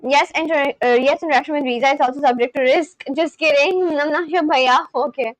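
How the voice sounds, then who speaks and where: A woman's voice: sits high, brisk, dry recording, poor audio. A woman in her twenties with an Indian English accent, chatting casually on a live stream and reading viewer comments aloud. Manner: chatty, reads viewer comments aloud, frequent likes and laughs.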